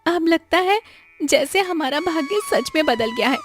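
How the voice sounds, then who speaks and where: Woman voicing a high-pitched caring village mother. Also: lively intonation, normal speed, faint music behind. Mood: relieved, concerned. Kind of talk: Hindi moral-story cartoon dialogue.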